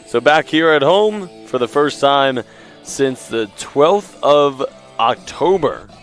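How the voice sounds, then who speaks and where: A male play-by-play caller for a college soccer game, his pitch sitting right in the ordinary middle. He works through standings and recent results in a steady, stat-heavy flow. When he gets a detail wrong, he stops and fixes it on air. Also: slow pace, soft background music. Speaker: play-by-play commentator. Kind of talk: live sports commentary.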